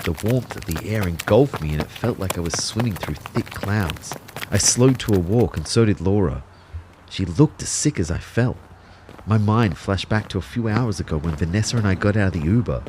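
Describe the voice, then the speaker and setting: A deep-pitched Australian man narrates an audio drama in the first person, acting the part. He is an anxious, self-doubting hero, with light ambient noise behind him. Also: close to an everyday voice. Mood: troubled. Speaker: actor narrating in character.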